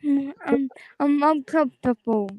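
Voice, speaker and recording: A young woman from the Caribbean calling in, talking in a halting, repetitive way. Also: high-pitched, dry recording, muffled recording. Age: 20s. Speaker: caller. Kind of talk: casual chat.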